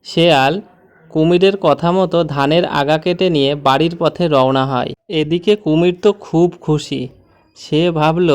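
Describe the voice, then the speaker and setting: Smooth, clear folktale narrator. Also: medium pitch, a little mellow. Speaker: storyteller, narrator. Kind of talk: scripted children's folktale narration.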